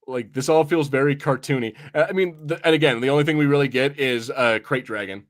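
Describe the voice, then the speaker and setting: A man's voice: mid-range voice, fairly quick. A man hosting a podcast, chatting casually in a rambling, informal, sometimes sweary way about what he has watched. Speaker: podcast host. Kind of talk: casual review chat.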